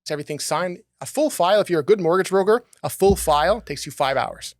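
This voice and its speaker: A man with a somewhat high voice talks casually on a podcast, teasing his co-host and firing off questions. The sound is dry and close to the mic. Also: expressive, fast, no room sound. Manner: joking, teasing, asks questions. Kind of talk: casual interview chat.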